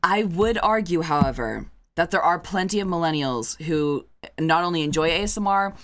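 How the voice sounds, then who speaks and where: A woman's voice: on the low side, expressive, normal speed. A young woman co-hosting a podcast, chatting casually in a bubbly, rambling way and laughing often. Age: young adult. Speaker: podcast co-host.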